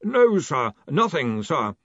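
A squeaky, apologetic fellow, as a male narrator puts him on, pitched well up. He quavers and hesitates, anxiously deferential to his superiors, in a comic fantasy storybook. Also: very animated, slow pace, a bit bright, no echo, highs cut off, exaggerated voice. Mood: hesitant. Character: nervous, squeaky, apologetic man reporting from far away. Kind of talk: audiobook character voice.

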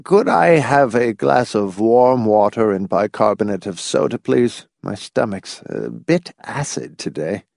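A man's voice: lowish voice, lively intonation, unhurried, vocal fry, few pauses, darkish tone, dry recording. Old veteran played by a male reader, audiobook: frail, dignified, sour, close dry sound. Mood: polite, ailing.

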